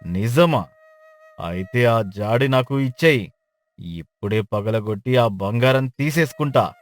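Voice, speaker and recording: A man playing a lazy but hopeful village man in a dramatized folk tale, coaxing and boastful, with drawn-out delivery. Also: mid-range voice, animated, faint music behind, lightly acted. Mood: eager, curious.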